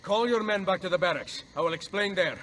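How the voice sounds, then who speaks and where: An actor playing a composed, shrewd Italian assassin hero in a video game, speaking with an Italian accent in a purposeful way. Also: male voice, high-pitched, a little fast, a bit bright, a little hiss or hum. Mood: urgent. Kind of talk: dramatic video game acting.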